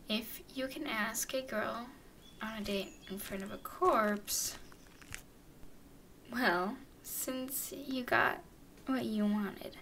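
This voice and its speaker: Woman voicing a slow-talking, haughty vampire girl. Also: on the high side, a bit bright, a little hiss or hum. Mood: wry, condescending. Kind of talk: ASMR roleplay, acted monologue to listener.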